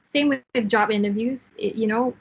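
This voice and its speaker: A woman in her thirties from Singapore, a software team lead giving frank, encouraging career advice in an online class, with fillers and restarts. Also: a little high, moderate pace, slightly rough, muffled recording. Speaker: guest speaker, software engineer team lead.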